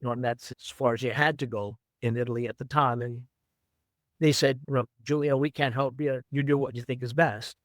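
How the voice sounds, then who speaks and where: An older man from Brooklyn, a former runner and coach, telling stories in an interview in an unhurried, fond way with many fillers. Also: mid-range voice, fairly even intonation, no room sound. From Brooklyn, New York. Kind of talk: reflective storytelling.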